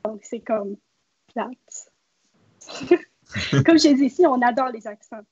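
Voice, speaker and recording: A young woman from northwest New Brunswick with a Québécois-like French accent. Her voice is husky and fairly high, recorded dry and dull. She talks in a chatty, easygoing way. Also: takes it slowly, darkish tone, no echo. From northwest New Brunswick, Canada. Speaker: guest. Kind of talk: casual conversational chat.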